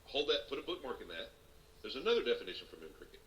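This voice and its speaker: A man talking as a guest on a casual podcast, chatty and quick to laugh. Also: mid-range voice, sing-song, a bit bright, lo-fi sound. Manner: laughs often, loose and chatty.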